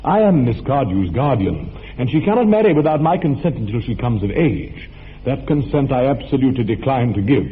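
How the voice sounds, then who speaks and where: A man voicing a stiff, proper young English gentleman in a radio play, speaking formally and with mock gravity. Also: medium pitch, big pitch swings, fairly quick, natural voice. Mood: firm. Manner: formal, precise, mock-grave speech. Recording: thin narrow-band radio recording with faint hiss.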